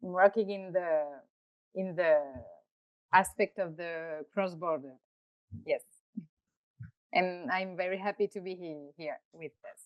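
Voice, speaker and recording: A woman's voice: lowish voice, steady tone, takes it slowly, pauses often, no room sound. A woman who works for a foreign ministry, introducing herself hesitantly while searching for words on a video call. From France. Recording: muffled video-call audio.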